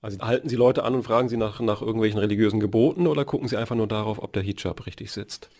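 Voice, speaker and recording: A man hosting a radio interview and asking measured questions. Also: lowish voice, a little mellow.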